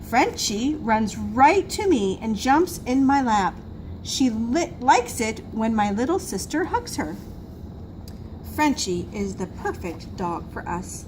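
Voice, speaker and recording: Female school storyteller for a picture book: lively intonation, emphatic, slow delivery, audible background noise. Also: fairly high pitch. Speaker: school assistant reading to pupils. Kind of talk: children's picture book read-aloud. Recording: noisy room recording.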